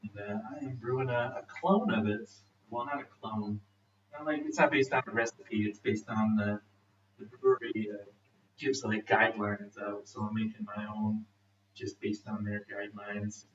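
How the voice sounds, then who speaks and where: A man from Michigan, chatting casually and haltingly with lots of fillers while livestreaming his home brew day. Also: lowish voice, steady tone, a little hiss or hum. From Michigan, USA. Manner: rambling, halting, many fillers, laughs often. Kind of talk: casual live-stream chat. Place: home brewing setup in Denmark, rainy day. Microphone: streaming camera and laptop. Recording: roomy, distant, dull lo-fi sound with faint ambience.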